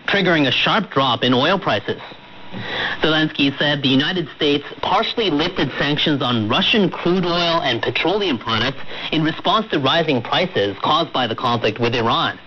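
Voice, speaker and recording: A man's voice: on the high side, expressive, moderate pace. A man reading scripted news as a radio anchor. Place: radio studio, heard through shortwave reception. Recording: muffled shortwave radio broadcast with static.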